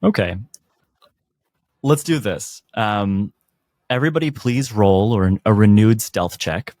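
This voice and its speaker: A man narrating a tabletop game as game master, measured and descriptive. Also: lowish voice, a little mellow, no room sound, studio quality.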